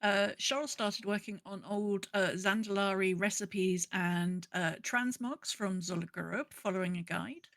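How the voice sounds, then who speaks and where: A woman's voice: mid-range voice, steady tone, measured pace, few pauses, fairly bright tone, dry recording. A woman co-hosting a podcast, casually chatting and reading out updates. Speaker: podcast co-host.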